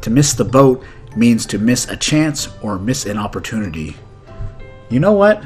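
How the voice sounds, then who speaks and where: A man's voice: mid-range voice, sing-song, normal speed, darkish tone, soft background music. A man in his thirties hosting an English lesson, acting out conversational skit lines and explaining idioms as a teacher. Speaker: English teacher and video host.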